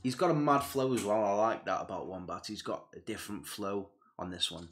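A young man reacts to music over a headset, his voice sitting on the low side. He talks in an easygoing, enthusiastic way and settles into a lower, earnest register when the subject turns serious. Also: fairly quick. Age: young adult. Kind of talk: casual reaction commentary. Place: small indoor room with gaming chair and purple lights.

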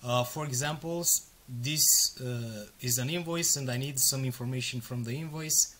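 A native Romanian man in his thirties records a screen walkthrough at his desk. His voice is bright and forceful at a middle pitch. He talks casually, step by step, with plenty of fillers. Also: normal speed. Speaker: software demo presenter.

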